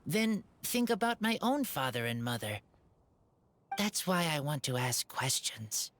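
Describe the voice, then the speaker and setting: A woman voicing an earnest, shy teenage boy raised by wolves, speaking in simple, halting sentences for a video game. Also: low voice, big pitch swings. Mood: pensive, earnest.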